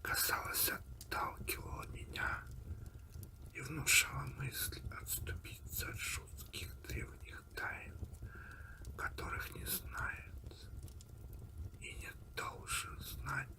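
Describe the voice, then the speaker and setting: A man narrating an eerie audiobook in an ASMR style, in a breathy, hushed whisper with deliberate pauses. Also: poor audio. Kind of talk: ASMR whispered reading of a horror story.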